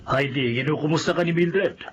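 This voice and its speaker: A man's voice: fairly high pitch, fairly quick, lo-fi sound, noisy background, natural voice. An actor playing a concerned, fair-minded father and household master with a Filipino accent in a radio drama. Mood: considerate.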